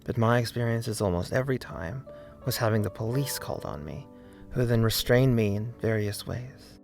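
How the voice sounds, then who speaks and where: A man narrating a scripted video essay in an earnest, deliberate way, with emphasis on key words. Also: on the low side, lively intonation, creaky. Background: faint background music.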